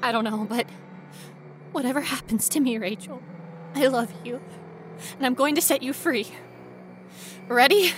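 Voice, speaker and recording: A woman playing a frightened, stubborn young female ghost researcher in a first-person horror audio drama, with an intense, breathy delivery. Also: high voice, unhurried, long pauses, soft background music. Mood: resolute, desperate. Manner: intense, breathy, dropping to whispers and rising to cries.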